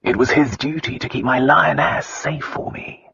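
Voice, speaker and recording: An actor playing a tender, commanding husband in a romance audiobook, speaking earnestly and insistently. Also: male voice, medium pitch, moderate pace, gravelly, sharp tone, highs cut off, a little hiss or hum. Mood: tender, knowing.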